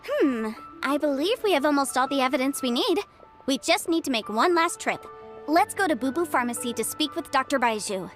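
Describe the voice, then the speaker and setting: A woman's voice: sits high, animated, brisk, a bit bright. A woman playing a confident, breezy young legal advisor in a video game, speaking articulately. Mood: confident, businesslike. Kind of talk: video game character dialogue. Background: game music.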